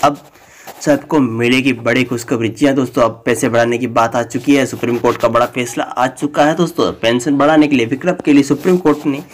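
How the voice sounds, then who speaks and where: Flat-voiced man reading pension news. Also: mid-range voice, brisk. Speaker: news channel host. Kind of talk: read-out news bulletin.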